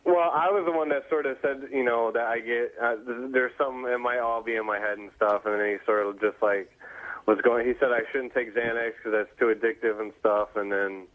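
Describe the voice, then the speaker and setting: Phone-quality male caller on a radio show, nervous, halting, stuttery, casual. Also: mid-range voice, fairly even intonation. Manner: nervous, stammering, hesitant, self-correcting. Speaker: radio show staffer being questioned. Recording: thin phone-like band-limited line.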